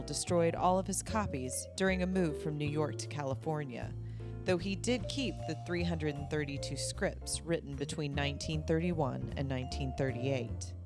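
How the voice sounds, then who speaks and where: Woman radio troupe host, low voice, reading a formal scripted intro, music playing under.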